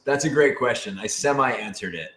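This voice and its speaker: A man bantering casually with colleagues in a lively, swooping intonation at a mid pitch. He is playful and teasing, and the room around him sounds echoey. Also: normal speed, crowd noise. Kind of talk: casual group chat. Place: indoor room with other people.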